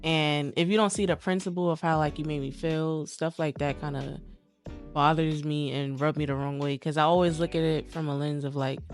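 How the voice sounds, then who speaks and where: A young woman with a lowish voice talks bluntly and candidly as a podcast guest, swearing casually. Faint music plays behind her. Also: steady tone, moderate pace. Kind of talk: casual chat.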